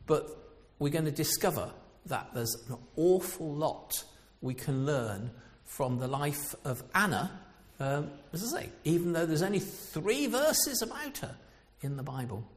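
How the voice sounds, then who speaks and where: An older man from with a loud voice, preaching an informal sermon in a chatty, meandering way with frequent pauses. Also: on the high side, sing-song. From Coventry, England. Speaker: lay preacher. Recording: echoey hall sound, dull lo-fi.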